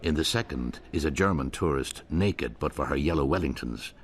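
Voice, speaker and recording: A man's voice: rumbling low, moderate pace, a little hiss or hum. A man narrating a radio drama in a wry, storytelling manner.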